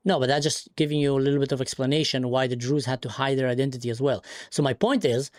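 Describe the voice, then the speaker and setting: Guy hosting a live stream, from the middle east, arguing hard and fast, dry close sound. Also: mid-range voice, steady tone, no room sound. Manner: insistent, argumentative, talks over the other speaker. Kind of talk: heated debate on a live stream.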